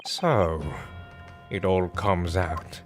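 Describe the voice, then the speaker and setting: A man voicing an earnest defence lawyer from a game, reading the dialogue in a formal, measured, theatrical way. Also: low-pitched, pitched below the performer's own voice. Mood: confident, explaining. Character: earnest male defence lawyer, methodical and accusing. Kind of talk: dramatic read-aloud of game dialogue. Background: game music.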